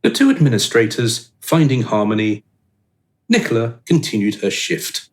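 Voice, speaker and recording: A man's voice: mid-range voice, sing-song, normal speed, close to an everyday voice. A man narrating a scripted radio drama.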